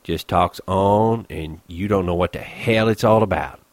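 A man voicing a bitter, lonely older ranch hand who talks gruffly in rough rural dialect. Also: low-pitched, a little slow, dry recording. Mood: brooding.

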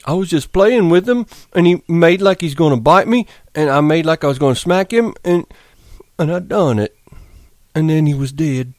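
A man's voice: on the high side, lively intonation, moderate pace, a little mellow, no echo, studio quality, voice pushed up, lightly acted. A man doing a simple-minded giant of a farmhand, plaintive. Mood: miserable. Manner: drawling, plaintive, simple speech. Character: big slow-witted childlike ranch hand, man.

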